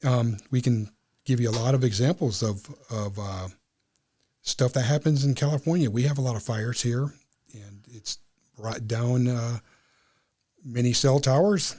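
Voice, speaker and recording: Man explaining haltingly, mid-pitched. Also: dark tone. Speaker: amateur radio club member, guest. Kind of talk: explanatory interview talk.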